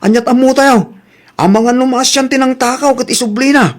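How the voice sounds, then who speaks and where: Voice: high voice, normal speed, natural voice. Brash, inquisitive Ilocano neighbor acted out. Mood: curious. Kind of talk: Ilocano comedy drama acting.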